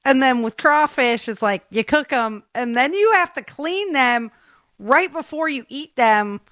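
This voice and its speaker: Expressive American woman, casual and dry-sounding recording. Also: high voice, unhurried, no echo. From Great Lakes region, United States. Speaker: host. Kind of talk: casual chat.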